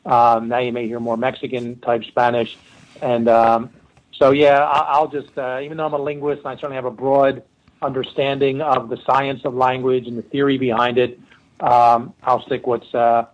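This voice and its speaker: A man's voice: mid-range voice, level tone, moderate pace, highs cut off. Rambling Philadelphia man, casual storyteller. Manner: rambling, many fillers and restarts. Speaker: forensic linguist guest.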